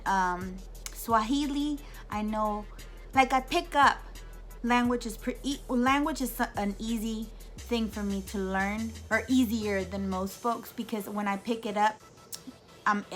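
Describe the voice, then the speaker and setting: A woman in her thirties talks straight to her camera in an easygoing vlog. She answers viewer questions in a chatty, animated way, full of ums and self-corrections. Her voice sits at an ordinary middle pitch, and a little music plays faintly behind her. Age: 30s. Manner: chatty, animated, many fillers and restarts. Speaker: YouTube vlogger. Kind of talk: casual Q&A talk to camera.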